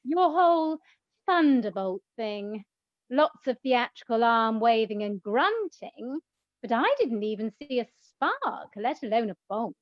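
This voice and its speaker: A woman playing a teasing, theatrical goddess of love in a comic radio play, with mocking, drawn-out emphasis. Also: fairly high pitch, sing-song, dry recording, highs cut off.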